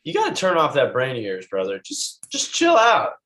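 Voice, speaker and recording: A man plays an unbothered, flirty bro in a comedy table read. He talks in halting slang, with a medium-pitched voice that moves freely up and down. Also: wildly swooping pitch, sharp tone. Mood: smug, casual. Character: slacker bro, gun-owning libertarian, flirty and unbothered. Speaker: actor in a screenplay table read.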